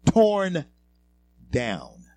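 A talk show host from Louisiana, giving a halting monologue full of fillers and repeated words that swells into emphatic peaks. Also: male voice, sits high, huge pitch swings, a little mellow, dry recording. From Louisiana, American South.

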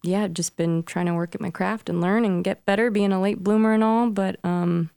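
A woman's voice: mid-range voice, steady tone, moderate pace, gravelly, dark tone, smooth, no echo, clean studio sound. An American woman from Colorado chatting casually as a guest, laid-back and self-effacing. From Denver, Colorado. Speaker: guest singer-songwriter. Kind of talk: casual chat. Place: music studio.

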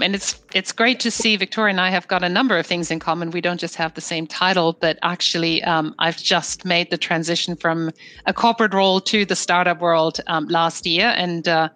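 Middle-aged woman from germany, lowish voice, eager panel intro, lots of ums. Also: pushed and bright, no echo. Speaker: panelist, HR executive.

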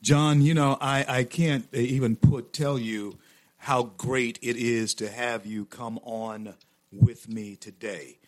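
A man's voice: mid-range voice, steady tone, slow pace. Emphatic male talk show host. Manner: emphatic, preacher-like swells. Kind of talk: interview.

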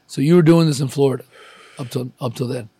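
A man's voice: medium pitch, fast talker, mellow, slightly rough, dry recording. A man from Ukraine hosting a casual, candid interview chat. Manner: candid, reflective, swears casually. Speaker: podcast host.

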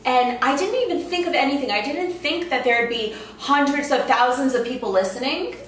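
A woman guest on an interview show, telling stories in a casual, animated way with many fillers. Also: sits high, moderate pace, distant mic, a little hiss or hum. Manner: animated storyteller with frequent "like" fillers. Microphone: podcast microphone across a table. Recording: echoey room, voice from off-mic.

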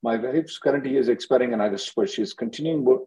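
A man, hosting a call-in as an attorney, briskly answering questions and repeating himself. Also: mid-range voice, mellow. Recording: dull lo-fi conference call audio.

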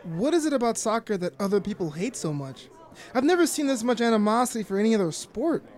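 A man playing a mild, curious young friend in an audio drama, gentle and inquisitive. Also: high-pitched, expressive, quick pace.

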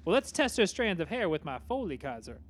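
A man voicing a calm older butler who explains gadgets and drugs. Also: high voice, brisk. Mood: matter-of-fact.

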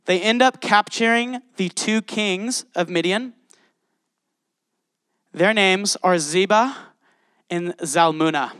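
A man's voice: high-pitched, slow, long pauses, fairly bright tone, smooth, no echo, studio quality. A man preaching a sermon, reading scripture and speaking passionately straight to his congregation. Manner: passionate, addresses congregation directly. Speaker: pastor.

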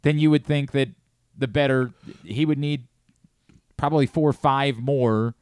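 Clear-voiced man hosting sports talk. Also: mid-range voice, measured pace, darkish tone, no echo, clean studio sound. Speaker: sports radio host. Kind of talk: casual sports talk and analysis. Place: radio studio.

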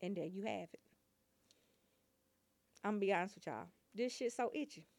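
A woman's voice: medium pitch, moderate pace, vocal fry, a little mellow. A woman hosting a casual comedic show, playful and teasing, trying on mock accents. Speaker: show host. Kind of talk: casual comedic live chat.

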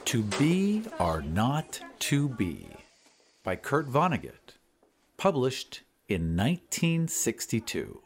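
A man's voice: medium pitch, huge pitch swings, takes it slowly. A man hosting a storytelling podcast, reading scripted narration. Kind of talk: scripted audiobook-style narration.

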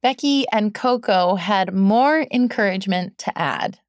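A woman's voice: medium pitch, measured pace, dry recording. Woman podcast host, encouraging, enthusiastic, dry close sound. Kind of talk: scripted podcast narration.

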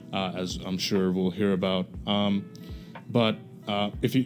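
A young man from Bangladesh talks through a process in a relaxed, halting way, full of ums and uhs. His voice sits on the lower side. A faint guitar plays behind him. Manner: hesitant, many fillers. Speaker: podcast guest. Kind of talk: casual explanation.

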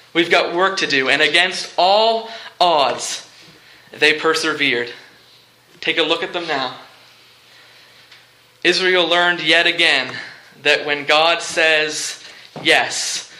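A man delivers a sermon in a very bright, forceful voice that sits a little high. He speaks in short, deliberate phrases and leans on repetition for effect. The room around him rings with echo. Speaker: preacher. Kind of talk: sermon with movie illustrations and humour. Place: live talk in a large room before listeners. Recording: echoey room.

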